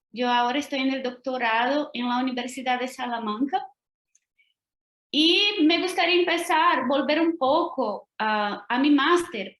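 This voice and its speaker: Woman, Brazilian Portuguese accent, guest lecturer, careful, checks in with listeners, fairly high pitch, roomy video call audio. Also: fairly bright tone. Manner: careful, often adds tag questions to check in.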